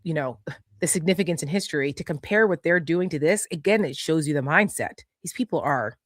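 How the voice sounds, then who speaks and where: A woman's voice: low-pitched, animated, a little fast, no echo, studio quality. A woman co-hosting a political talk, reading messages aloud and adding conversational commentary.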